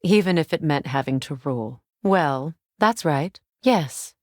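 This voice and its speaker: A woman voicing a proud, measured Norse warrior goddess in a dramatic video game, with formal, deliberate and dry wording. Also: sits low, expressive, no echo. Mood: measured, wry storytelling.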